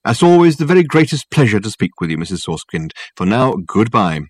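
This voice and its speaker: A man's voice: on the low side, sing-song, a little fast, husky, dry recording. A man voicing a grandiloquent, unflappable male detective who talks soothingly and floridly. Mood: soothingly pompous. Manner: florid, soothing, mock-sorrowful.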